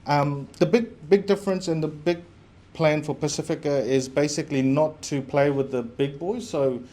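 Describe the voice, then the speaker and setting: A man's voice: a little high, moderate pace, dark tone. A middle-aged man from Fiji and New Zealand gives an informal, candid presentation as a business founder.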